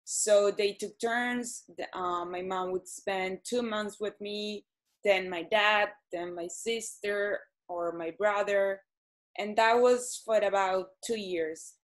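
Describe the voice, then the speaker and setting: Slow, hesitant woman. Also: medium pitch, fairly even intonation. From Venezuela. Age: 30s. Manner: hesitant, frequent ums and restarts. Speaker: guest. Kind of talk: casual interview answers.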